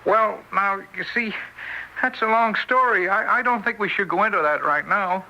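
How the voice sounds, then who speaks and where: A man's voice: sits high, normal speed, dull audio, exaggerated voice. An actor in an old-time radio drama playing a timid, apologetic ghost of a small frail man, stammering and pleading. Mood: hesitant, explaining. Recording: bright, thin old radio recording.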